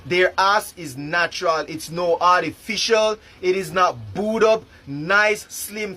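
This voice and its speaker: A brash man with a Jamaican accent talks fast and provoking, daring his listeners to argue. His voice sits high and bright. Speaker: social media commenter. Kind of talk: brash ranking rant.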